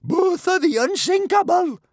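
High, blustering warrior woman. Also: expressive, unhurried, no echo, clean studio sound. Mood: indignant shouting. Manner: spluttering, blustering. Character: loud, indignant, big boastful female chieftain.

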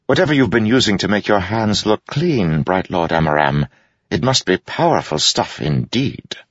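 A quick-tongued court jester pitched high and swooping, delivering cutting insults with theatrical relish, as a male narrator puts him on. The recording is dry and a little dull, with no room sound. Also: expressive, dry recording. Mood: mocking.

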